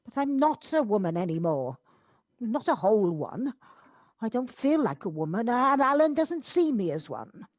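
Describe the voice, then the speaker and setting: A woman's voice: fairly high pitch, very animated, normal speed, a little mellow, no echo, studio quality. An amateur actress playing a reserved, self-doubting older woman in an audio drama, kindly but wounded and hesitant. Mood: downcast. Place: recorded at home on a personal device.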